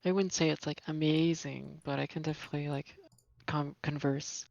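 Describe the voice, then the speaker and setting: A man's voice: on the high side, fairly even intonation, moderate pace, creaky, darkish tone, dry recording, lo-fi sound. A young man from Brazil, raised speaking Portuguese, chatting casually as a podcast guest in a rambling, self-correcting way. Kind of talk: casual chat.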